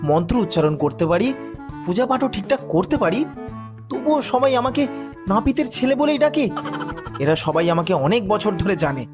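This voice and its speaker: A man's voice: sits high, sing-song, brisk. A man voicing a cunning, boastful barber's son who poses as a priest in a cartoon moral story, theatrical and self-important. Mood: indignant, determined. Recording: music bed behind the voice.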